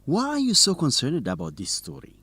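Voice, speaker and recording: An actor playing a principled, stubborn young student journalist in an educational radio drama, speaking expressively and clearly. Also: male voice, fairly high pitch, wildly swooping pitch, brisk, bright, forceful tone, no room sound, exaggerated voice. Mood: firm, defiant.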